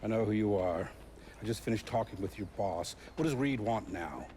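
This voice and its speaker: A man's voice: lowish voice, lively intonation, normal speed, warm tone, a little hiss or hum, lightly acted. A man playing a smug, defiant conspiracy villain in a video game, with a sardonic, condescending manner. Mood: dismissive, sarcastic. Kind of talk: video game cutscene acting.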